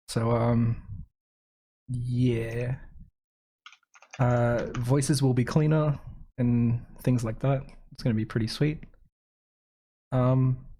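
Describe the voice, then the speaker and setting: Soft-spoken man chatting casually. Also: mid-range voice. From Australia. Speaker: gaming podcast host. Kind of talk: casual chat.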